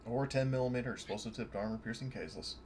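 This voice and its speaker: A man's voice: medium pitch, fast talker, a little mellow, a little hiss or hum. A man playing a tabletop game, talking casually and jokingly with the others at the table.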